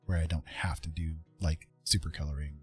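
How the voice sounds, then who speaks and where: A man's voice: very deep voice, steady tone, a little fast, faint music behind. A man chatting casually and hesitantly as he livestreams his drawing, rambling and thinking aloud. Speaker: livestream host and artist.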